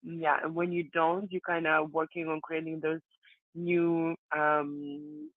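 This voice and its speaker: A woman's voice: low voice, slow, gravelly, no echo. A woman from Latvia, speaking English with a Latvian accent as a guest actress and singer, chatty with easy laughs and hesitant fillers. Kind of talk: interview answers. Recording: tinny phone-like line, dry.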